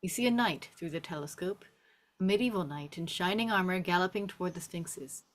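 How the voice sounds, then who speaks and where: A woman's voice: fairly low pitch, brisk. A woman narrator reading scene descriptions and stage directions aloud at a script table read.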